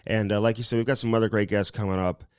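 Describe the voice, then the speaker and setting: A man's voice: lowish voice, steady tone, quick pace, smooth, no room sound, clean studio sound. A man co-hosting a podcast, rambling through ad reads and casual chat with lots of fillers, sounding a little nasal from a cold. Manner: rambling, filler-heavy delivery. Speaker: podcast co-host.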